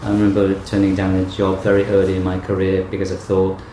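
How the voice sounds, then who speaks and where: A man's voice: low voice, completely flat delivery, quick pace, dark tone. A middle-aged man, a theatre director, talking in a thoughtful, reflective storytelling way during an interview. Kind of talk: interview conversation. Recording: echoey room with faint background noise.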